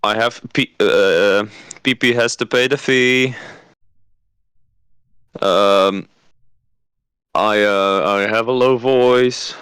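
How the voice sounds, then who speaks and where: A man's voice: on the low side, steady tone, fairly bright tone, clear, resonant tone, no echo. A man playing Freddy, an awkward, clueless amateur singer who hesitates and makes up clumsy rhymes as he goes. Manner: hesitant, halting, making up rhymes. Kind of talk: improvised comedy skit.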